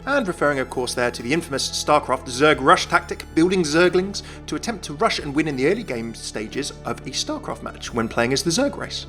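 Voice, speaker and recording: A man's voice: a little high, expressive, fairly quick, hardly any pauses, fairly bright tone, faint music behind. A man narrating a scripted gaming video as its presenter.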